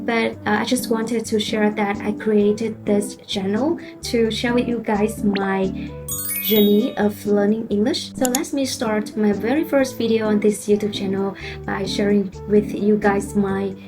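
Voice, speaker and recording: A woman's voice: medium pitch, steady tone, a little slow. A young woman in her late twenties with a Vietnamese accent, a native Vietnamese speaker, talking to camera in a casual vlog, earnest and a little nervous, with frequent "you know" fillers. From central Vietnam. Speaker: vlogger. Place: at her desk at home. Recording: soft music behind the voice.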